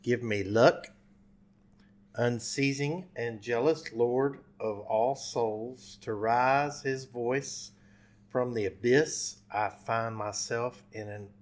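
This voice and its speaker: Slow, halting man on a podcast. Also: medium pitch. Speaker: podcast co-host. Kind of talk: casual banter and halting read-aloud.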